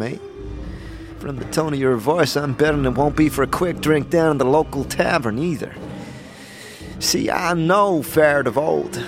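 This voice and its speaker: Fantasy audio drama: seasoned female squad leader played by a man, knowing and dry, gravelly voice over prominent music. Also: mid-range voice, pitched above the performer's own voice, natural voice. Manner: wry, easygoing, knowing. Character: world-weary, weather-beaten woman officer, wry leader of justice soldiers. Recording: music under the voice.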